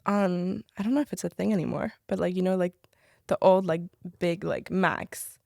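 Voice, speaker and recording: A woman's voice: fairly low pitch, normal speed, creaky, no room sound, studio quality. A young woman from the Czech Republic, a native French speaker, chatting casually as a podcast guest and telling stories with lots of "like.". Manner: chatty, heavy "like" filler, animated storytelling. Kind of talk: casual interview chat.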